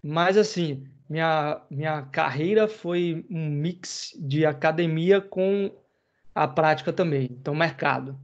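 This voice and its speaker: A man talks in a relaxed, rambling way, full of slang, the way a podcast host chats. His voice sits a little high. The recording sounds dull and lo-fi. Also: slow. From Bahia, Brazil. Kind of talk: casual chat.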